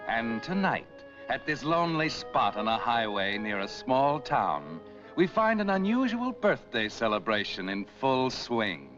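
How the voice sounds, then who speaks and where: A man playing an ominous, all-knowing narrator of crime tales, speaking deliberately and knowingly as if to the character himself. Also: mid-range voice, sing-song. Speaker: narrator of a mystery film. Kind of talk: ominous scripted noir narration. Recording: dull 1940s film soundtrack, loud music.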